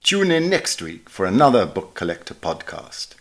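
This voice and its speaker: Low-voiced man reading a memoir aloud. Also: sing-song, moderate pace. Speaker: reader of a magazine article for a podcast. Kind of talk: scripted narration, read-aloud memoir.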